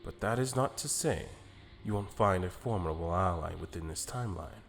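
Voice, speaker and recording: A man playing a calm, calculating celestial attendant who speaks in a measured, formal, deliberate way in an anime fan drama. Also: low-pitched, creaky, in a large hall, faint music behind. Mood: advising.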